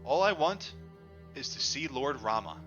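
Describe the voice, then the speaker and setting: A man's voice: medium pitch, lively intonation, a little slow, fairly bright tone. A man voicing an earnest, insistent devotee seeking his god in a storybook tale. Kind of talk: acted audiobook dialogue. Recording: dull lo-fi audio, music underneath.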